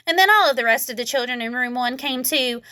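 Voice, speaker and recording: A woman reading a children's story aloud, expressive, with childlike phrasing. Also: high voice, fast talker, dry recording, clean studio sound. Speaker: storybook reader. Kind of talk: children's read-aloud narration.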